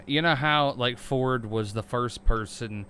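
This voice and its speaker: A man's voice: medium pitch, takes it slowly, bright, forceful tone, a little hiss or hum. A young man co-hosting a podcast, rambling and joking in casual chat, stumbling for words. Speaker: podcast co-host.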